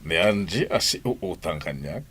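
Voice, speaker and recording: A man's voice: low-pitched, animated, moderate pace, fairly bright tone, husky, exaggerated voice. A man with an Ilocano Filipino accent playing a stern village father in a radio drama. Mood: stern, probing. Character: stern village father questioning his grown son.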